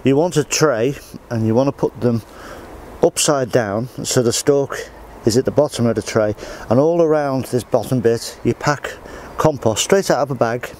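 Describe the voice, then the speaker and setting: A man's voice: medium pitch, normal speed, darkish tone, slight background noise. A middle-aged man, casually showing how he does a garden job while he works, outdoors on an allotment. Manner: plain, practical, talks while working.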